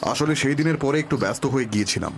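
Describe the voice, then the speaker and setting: A man voicing a kind, protective king in a cartoon, speaking in a measured, reassuring, regal manner. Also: medium pitch, vocal fry, lightly acted. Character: kind protective king, worried for his subjects. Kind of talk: dramatic Bengali cartoon dubbing.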